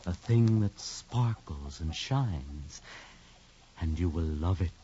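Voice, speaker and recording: A dull 1950s radio recording carries a deep-voiced conspirator. He speaks slowly and theatrically, domineering and poetic, like a fanatic certain of his cause. Light noise sits under the voice. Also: expressive, warm tone. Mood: grandiose, coaxing. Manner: grandiose, slow, deliberate, domineering. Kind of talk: 1950s radio drama acting.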